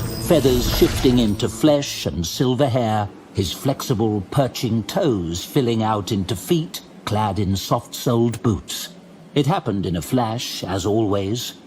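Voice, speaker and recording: A man's voice: mid-range voice, noisy background. A man giving a dramatic scripted narration of a fantasy audio drama. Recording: loud dramatic music under the voice. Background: music.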